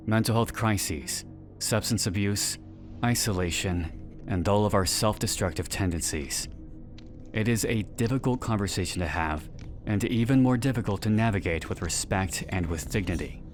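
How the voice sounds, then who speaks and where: Male narrator, low register, earnest and measured scripted analysis read, soft music bed. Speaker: narrator of a series analysis video. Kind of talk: scripted narration, video essay. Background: background music.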